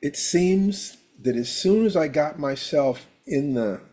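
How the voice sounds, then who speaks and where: Man with a rough, husky voice. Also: fairly high pitch, lively intonation, slow, mellow. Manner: rambling, with many fillers and throat clearing. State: rough, hoarse voice. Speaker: host of a live stream. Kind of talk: casual live-stream monologue. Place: at home.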